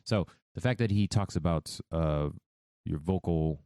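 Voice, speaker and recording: A man's voice: sits low, no echo, studio quality. A man hosting a podcast, talking loosely and profanely in casual commentary. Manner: animated, loose and profane, speaks fast. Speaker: podcast host.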